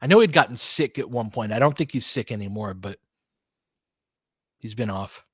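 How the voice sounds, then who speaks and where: A man's voice: medium pitch, animated, moderate pace, fairly bright tone, breathy edge, dry recording, studio quality. A man doing live basketball play-by-play as a partisan fan, in short clipped phrases with casual, slangy exclamations and bits of analysis. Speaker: fan play-by-play commentator and host.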